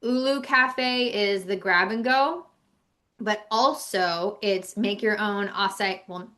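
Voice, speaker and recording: A woman with a very bright, forward voice at a medium pitch talks casually as a podcast co-host. She is chatty and informal, reviewing places with plain opinions in an everyday conversational style. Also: takes it slowly. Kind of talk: casual podcast chat about travel.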